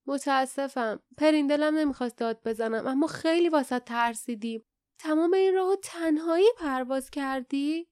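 A woman's voice: sits high, a little mellow, no room sound, studio quality, voice pushed up, natural voice. A woman voicing an impulsive young hero boy in a storybook, hot-headed but caring, earnest and emphatic. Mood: apologetic.

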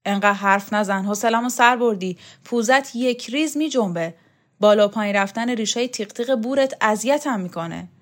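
A woman doing a gruff, scornful tough guy. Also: on the high side, lightly acted. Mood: angry. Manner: coarse, scolding, swears freely. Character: tough, blunt middle-aged male strongman, scornful and gruff.

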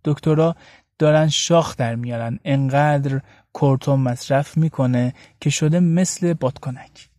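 A man's voice: a little high, dark tone, no room sound, studio quality. A man reading a scripted first-person story as a radio serial narrator. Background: background music.

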